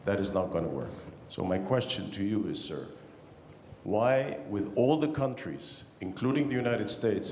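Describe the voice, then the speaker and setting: A man's voice: low-pitched, a little mellow, a little hiss or hum. A man from Egypt earnestly putting a formal question to a panel. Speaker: audience member asking a question. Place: large conference hall. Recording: echoey hall with faint ambience.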